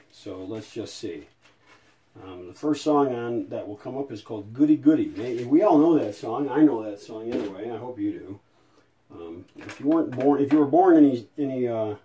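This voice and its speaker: Mellow-voiced man giving a folksy demo. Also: mid-range voice. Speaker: video host and radio restorer. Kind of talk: casual hands-on demo narration.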